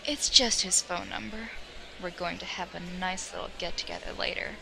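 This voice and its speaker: A woman playing a sly, confident, teasing teenage girl with a creaky voice in an audio drama. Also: on the low side, steady tone, moderate pace, a crowd in the background. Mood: sly, friendly. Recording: clearly noisy home recording.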